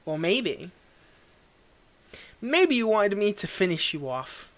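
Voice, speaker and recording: Actor voicing a tsundere villain, mid-pitched, cold, mocking, condescending, theatrical, intimate roleplay monologue. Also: sing-song, fairly bright tone. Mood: taunting, haughty. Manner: condescending, taunting, theatrical, with drawn-out sneers. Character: cold, mocking tsundere villain, grudgingly tending a wounded hero. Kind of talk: dramatic roleplay monologue spoken to the listener.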